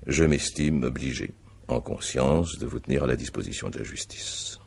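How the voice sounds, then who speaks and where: Very deep gravelly magistrate. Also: male voice, expressive, darkish tone, exaggerated voice. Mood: grave, accusing. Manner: formal, deliberate, interrogating. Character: stern, methodical male examining magistrate. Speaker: actor in a radio drama. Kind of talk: French radio mystery drama acting.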